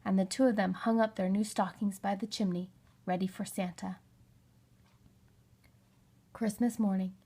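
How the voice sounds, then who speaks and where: A woman narrating a children's storybook in an expressive read-aloud style. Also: mid-range voice, normal speed, long pauses, slightly rough. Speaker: storybook reader.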